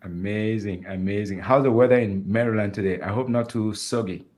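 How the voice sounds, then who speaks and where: A man's voice: on the low side, brisk, darkish tone. A man from Africa hosting a virtual fireside chat over a video call, chatty and enthusiastic, laughing easily. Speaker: host.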